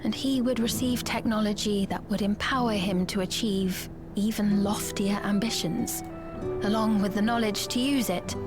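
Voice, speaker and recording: An actress playing a gentle, regal young queen in a video game, speaking formally and softly in measured phrases. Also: medium pitch, close to an everyday voice. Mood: regretful. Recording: music behind the voice.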